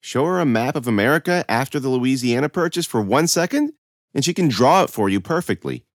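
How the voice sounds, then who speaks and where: A man's voice: mid-range voice, lively intonation, brisk, no room sound, studio quality, pitched above the performer's own voice, lightly acted. A man voicing a protective female schoolteacher in an audiobook, earnest, insistent and exasperated.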